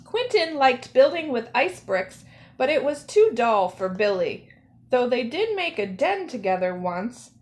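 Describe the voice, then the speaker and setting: A woman storyteller reading a picture book aloud for children, with careful, expressive phrasing. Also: fairly high pitch, unhurried. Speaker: storyteller, zoo educator.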